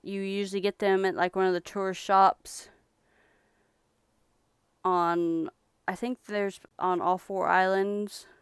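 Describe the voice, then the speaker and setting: A young woman from Texas chatting casually on a live stream about thrift finds she resells, in a relaxed, rambling way. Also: medium pitch, slow pace, pauses often, smooth, no room sound, studio quality.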